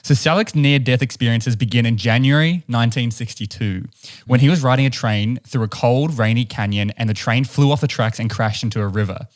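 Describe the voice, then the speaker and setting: A young man with a New Zealand accent spins a story for his podcast co-host. He talks close to a boom mic in a room at home, animated and breaking into small laughs. The tone is casual and chatty. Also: fairly quick. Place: home room with stone wall, indoors. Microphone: boom studio mic close up.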